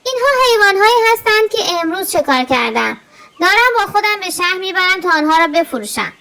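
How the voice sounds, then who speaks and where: A woman voicing an opportunistic male hunter in a children's storybook, in an expressive manner. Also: very high-pitched, gravelly, voice pushed up, full character voice. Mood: frightened. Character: opportunistic male hunter, timid when threatened.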